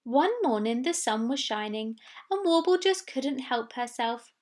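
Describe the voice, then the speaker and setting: A woman's voice: on the high side, expressive, a little slow, a little mellow. A woman reading a children's storybook expressively, with dramatic delivery of sound words. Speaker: story reader.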